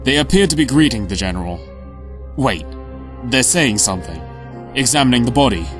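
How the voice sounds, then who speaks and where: A man's voice: mid-range voice, animated, brisk, music in the background, natural voice. A man playing a clipped military aide. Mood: urgent. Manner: clipped, formal reporting. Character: crisp male military aide reporting to the prime minister. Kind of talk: audio drama acting.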